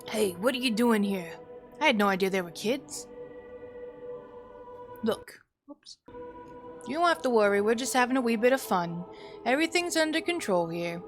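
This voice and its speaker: A woman's voice: a little high, lively intonation, moderate pace, pauses often, soft background music, close to an everyday voice. A woman voicing a dismissive grown-up in a visual novel, condescending and falsely cheerful to a child.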